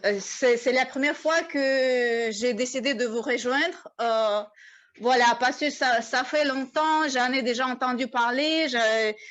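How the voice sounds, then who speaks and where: A woman speaks slowly, in a halting, unsure way, stopping and restarting her sentences again and again. Her voice is a little higher than most. She is heard over a video call. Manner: halting, many fillers and restarts. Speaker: first-time participant. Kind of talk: hesitant spoken self-introduction.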